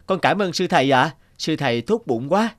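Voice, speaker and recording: Man acting a polite, earnest young woodcutter, higher-pitched. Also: animated, normal speed, close to an everyday voice. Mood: grateful, polite. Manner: respectful, earnest. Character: honest, polite, hardworking young male woodcutter. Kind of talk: cartoon folk-tale dialogue.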